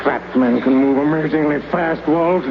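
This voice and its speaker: A man's voice: a little high, normal speed, background noise, lightly acted. An actor playing a world-weary old fat man who narrates his own story in a 1950s radio drama, hard-boiled and wry. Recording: muffled old radio broadcast with music.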